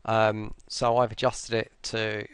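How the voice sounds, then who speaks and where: A tired man giving a casual, unscripted software walkthrough in a hesitant, low-key, rambling way, speaking close to the mic. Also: level tone, takes it slowly, clear, resonant tone, no echo. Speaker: voice pack creator presenting an update.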